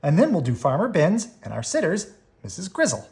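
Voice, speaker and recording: A woman's voice: on the low side, huge pitch swings, a little fast, darkish tone, pitched above the performer's own voice. A woman voicing an eager, slightly cautious young boy bear cub in a children's storybook. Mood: planning.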